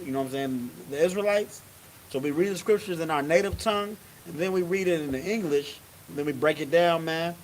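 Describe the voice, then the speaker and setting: Guy preaching loose and chatty, keeps saying you know what i'm saying, bit high, faint hiss behind. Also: low-quality recording. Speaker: religious teacher leading a service. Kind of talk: casual sermon.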